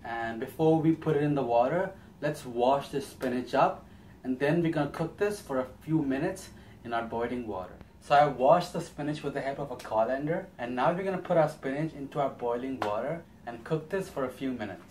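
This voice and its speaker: A man's voice: medium pitch, fairly quick, a little mellow, a little hiss or hum. A young man giving a casual, step-by-step cooking demonstration as host in a small home kitchen. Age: young adult. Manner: explains step by step.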